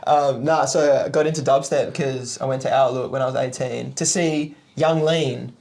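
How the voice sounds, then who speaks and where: A man's voice: mid-range voice, fairly even intonation, moderate pace, vocal fry, darkish tone. A man in his late twenties with an Australian accent, chatting casually as a podcast guest in a laid-back, joking way, with plenty of swearing. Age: late 20s. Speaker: podcast guest, music producer. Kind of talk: casual chat.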